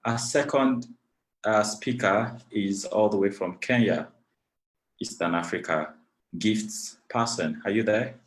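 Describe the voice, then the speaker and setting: A man's voice: lowish voice, takes it slowly, low-quality recording. A man from Nigeria, moderating an online panel over a video call in a gracious, formal way, thanking many people at length. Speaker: webinar moderator.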